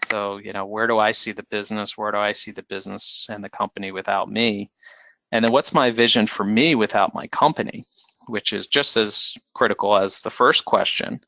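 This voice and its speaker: A low-voiced man with a gravelly edge, presenting a business webinar in a measured, explanatory way. His delivery is bright and forceful despite the many "um"s and restarts. The audio is dry and somewhat dull, like lo-fi webinar sound. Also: fairly even intonation, no echo. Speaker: financial advisor presenting.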